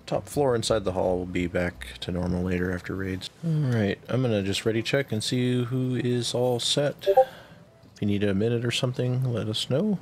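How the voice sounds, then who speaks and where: A man leading a game raid on stream, calmly calling out mechanics and giving instructions. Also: mid-range voice, normal speed, slight background noise. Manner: calm, instructive callouts with short laughs.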